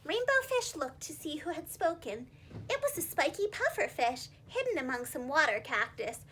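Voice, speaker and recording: A woman telling a children's story in an animated, expressive teacher's style. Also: high-pitched, moderate pace, a little hiss or hum.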